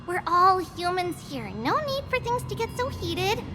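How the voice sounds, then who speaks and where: Treeby, a chirpy tiny priestess. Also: female voice, very high voice, big pitch swings, unhurried, heavily acted. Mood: playful. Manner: chirpy, bossy, cheerful. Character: bubbly small girl priestess, playful. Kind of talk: game cutscene voice acting. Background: game music.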